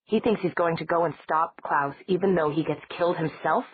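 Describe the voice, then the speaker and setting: A woman reading an audiobook, voicing a hot-tempered young man who shouts in exasperation. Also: on the low side, brisk, a little hiss or hum, close to an everyday voice. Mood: exasperated. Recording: dry, tinny phone-like sound.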